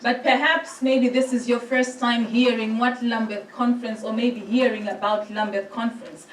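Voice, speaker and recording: A woman's voice: a little high, fairly even intonation, moderate pace, a little hiss or hum. Woman addressing a synod. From Zimbabwe. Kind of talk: formal address with scripture reading. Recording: roomy hall sound from a distant mic.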